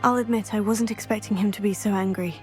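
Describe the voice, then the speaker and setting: A young woman playing a polite, earnest teenage witch student in a video game, measured and sympathetic. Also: mid-range voice, fairly even intonation, over music, lightly acted. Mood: polite, concerned. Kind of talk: video game cutscene acting.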